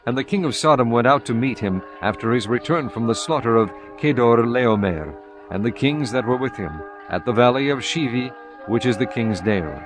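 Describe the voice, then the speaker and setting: A man reading scripture aloud as a narrator, slow, measured and solemn. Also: medium pitch, expressive, noisy background.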